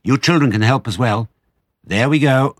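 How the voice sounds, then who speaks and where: A man's voice: medium pitch, moderate pace, no echo, studio quality, voice pushed up, lightly acted. A man doing a sly, jovial fox father. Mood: delighted, generous. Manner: theatrical, coaxing, self-assured. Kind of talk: audiobook character reading.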